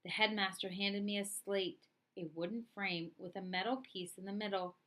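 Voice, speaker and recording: A woman reading a children's story aloud in an expressive narrating style. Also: medium pitch, normal speed. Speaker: storybook reader.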